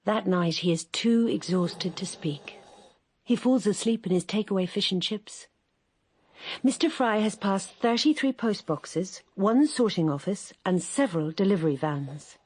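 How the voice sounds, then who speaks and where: Breathy woman narrating. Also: medium pitch, animated, a little slow, a little mellow. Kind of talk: scripted narration.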